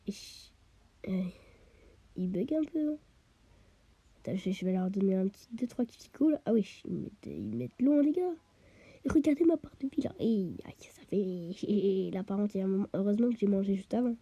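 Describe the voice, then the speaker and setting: A woman giving chatty let's play commentary while she plays, often dropping to a half-whisper and tossing in quick interjections, a bit stuffy with a cold. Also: medium pitch, lively intonation, warm tone, slightly rough, no room sound. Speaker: gamer.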